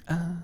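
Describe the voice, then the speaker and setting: A woman's voice: darkish tone, dry recording. A woman playing an anxious, flustered young female medical student in an intimate ASMR roleplay, shy, hesitant and stammering. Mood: nervous, focused. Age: young adult. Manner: stammering, hesitant, muttering asides under breath. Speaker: voice actor in audio roleplay.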